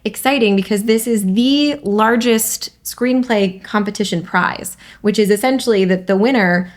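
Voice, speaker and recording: A woman's voice: mid-range voice, moderate pace. A woman hosting and reading aloud in an upbeat, conversational presenter's style. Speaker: host and script reader. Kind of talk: upbeat hosted discussion and stage-direction reading.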